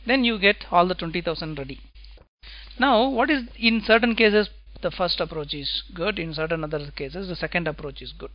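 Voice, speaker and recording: A man teaching software testing in a screencast lecture, talking step by step and checking in with "right?" after his points. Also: high-pitched, lo-fi sound. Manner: slow, deliberate explaining, tag questions "right?".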